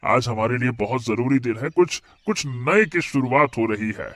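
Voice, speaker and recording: An actor playing an earnest, grateful village eatery owner in a Hindi cartoon story, with drawn-out interjections. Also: male voice, medium pitch, animated, a bit bright, natural voice. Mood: eager. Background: background music.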